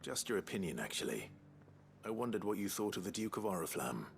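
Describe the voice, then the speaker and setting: An actor playing a grave, courteous adventurer in a fantasy game, measured and understated in manner. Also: male voice, low voice, sing-song, vocal fry, slight background noise, heavily acted. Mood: calm inquiry. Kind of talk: scripted fantasy game acting.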